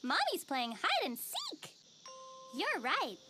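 A woman voicing a relentlessly cheerful, naive little girl in a children's cartoon, squeaky, bubbly and gushing, with a sing-song delivery. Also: huge pitch swings, slow, exaggerated voice. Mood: delighted. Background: playful children's music.

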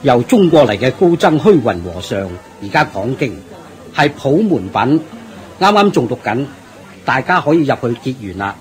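An actor playing a welcoming temple announcer in a radio drama, calling out invitingly. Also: male voice, medium pitch, sing-song, moderate pace, faint music behind, lightly acted.

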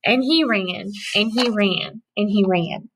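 A woman in her thirties reading a children's story aloud with expressive, rhythmic storytelling. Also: medium pitch, slow, noisy background. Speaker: storybook reader. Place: small indoor room with cupboards.

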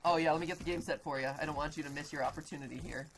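A man's voice: fairly high pitch, steady tone, rapid-fire, creaky, background noise. A young man hosting a gaming livestream in casual chat. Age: young adult. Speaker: livestream gaming show host. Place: small decorated indoor studio room. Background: music.

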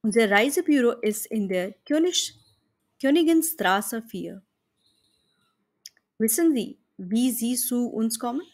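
A woman's voice: fairly high pitch, expressive, slow pace, pauses often, darkish tone. A woman with an Indian accent voicing a friendly, businesslike female travel agency manager speaking formally on the phone in a lesson dialogue. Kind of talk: scripted dialogue read for learners.

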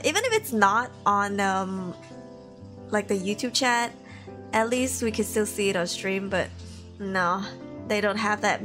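A woman's voice: medium pitch, a bit bright. A young woman chatting casually with livestream viewers, bubbly and giggly, her voice tired and sniffly. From Malaysia. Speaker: VTuber streamer. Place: home room.

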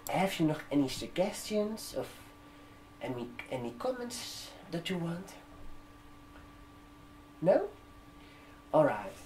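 Very slow-talking young man, ASMR role-play. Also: a little high, sing-song, noisy background. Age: 20s. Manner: slow, halting, repeating words while typing. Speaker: ASMR performer playing a barber shop receptionist. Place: room with sofas, indoors. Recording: roomy echo, keyboard typing. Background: computer keyboard typing.

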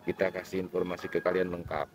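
A man's voice: sits low, level tone, quick pace, a little hiss or hum. A young man co-hosting a travel vlog, talking casually outdoors. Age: young adult. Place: outdoor terrace of a hillside cafe. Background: music.

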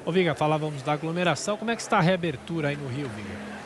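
Male studio anchor, slightly high voice, brisk questions to the field. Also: animated, noisy background. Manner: brisk, poses questions to reporter. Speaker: news anchor. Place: news studio.

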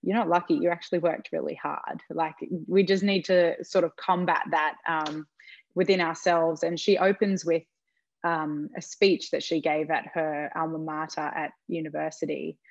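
A woman's voice: deep voice, level tone, moderate pace, vocal fry, no echo. A woman, a panelist on a video call giving earnest, encouraging advice. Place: home room with plain walls. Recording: slightly dull video-call audio.

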